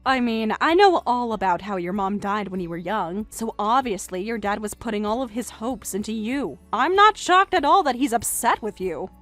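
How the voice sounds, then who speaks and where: A woman's voice: mid-range voice, lively intonation, normal speed, few pauses, a bit bright, no echo, soft background music, natural voice. A woman voicing a mocking, self-assured jilted young woman in a melodramatic story, sarcastic and condescending. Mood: matter-of-fact, scornful. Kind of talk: melodramatic narrated story dialogue.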